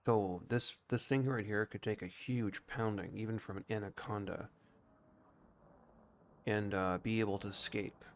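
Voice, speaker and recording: Low-pitched man explaining a game casually. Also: fairly even intonation, measured pace. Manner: explanatory, informal, thinking aloud. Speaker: game streamer. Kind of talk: casual gameplay commentary and explanation.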